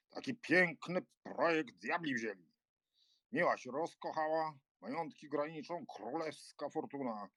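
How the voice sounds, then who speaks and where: An actor playing a blustering, cynical, hot-tempered major in an audiobook drama, gruff and scornful in manner. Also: male voice, on the high side, fairly bright tone, dry recording, heavily acted.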